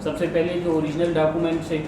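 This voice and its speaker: A man's voice: fairly high pitch, little pitch movement, fast. Distant, echoey man answering in class. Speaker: law student. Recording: echoey room, distant from mic, noisy.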